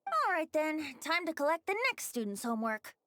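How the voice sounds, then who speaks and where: High-voiced, boyish whimsical bard. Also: female voice, very animated, moderate pace, pushed and bright, full character voice. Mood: playful. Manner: playful, airy, theatrical. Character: gentle, whimsical young bard with boyish lilt. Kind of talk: video game voice acting.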